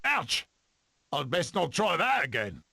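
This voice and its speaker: A man voicing a cocky, eccentric weasel adventurer for an animated game, with a theatrical, jaunty delivery. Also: fairly high pitch, unhurried, vocal fry, background noise, heavily acted. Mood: cocky, wry.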